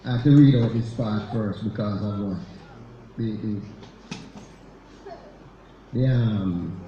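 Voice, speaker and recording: A man from Jamaica reading a sermon-lecture in a church, with measured delivery and slow stresses on key words. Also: on the low side, slight background noise. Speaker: church speaker. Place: church sanctuary. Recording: echoey church sanctuary, slightly dull sound.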